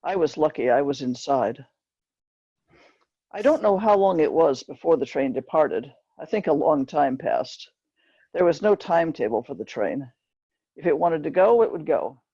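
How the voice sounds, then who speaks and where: A woman reads a narrative aloud in plain, measured storytelling phrases, like an author at a book reading. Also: low-pitched, lively intonation, warm tone, husky. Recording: dull, roomy lo-fi recording.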